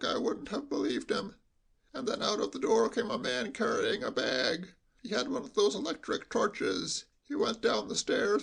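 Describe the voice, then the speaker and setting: A man reading a story aloud and voicing a timid old male butler with a high, bleating, quavering voice and a slow, deferential, hushed way of talking. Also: gravelly, breathy edge, exaggerated voice.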